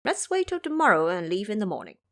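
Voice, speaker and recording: A woman voicing a cheeky, confident male monkey disciple in a brisk, playful way for an audio drama. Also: medium pitch, big pitch swings, fairly bright tone. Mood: breezy.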